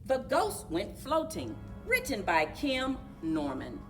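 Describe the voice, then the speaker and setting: A woman reading a children's storybook aloud in an animated, rhythmic singsong for a library story time. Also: on the high side, takes it slowly, soft background music. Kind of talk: children's storybook read-aloud with chanted refrains.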